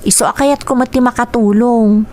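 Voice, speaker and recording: A sweet yet determined sixteen-year-old Ilocano girl played by a woman in a radio drama. Her voice is on the high side, carrying a youthful character's lines. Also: fairly even intonation, normal speed, darkish tone, natural voice. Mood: reassuring, pleading.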